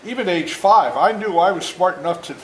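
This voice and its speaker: A man in his seventies talking and reading aloud to children as an author, plain-spoken and deliberate, often checking in with questions. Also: high-pitched, lively intonation. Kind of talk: storytelling talk and read-aloud to children. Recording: echoey room with faint noise.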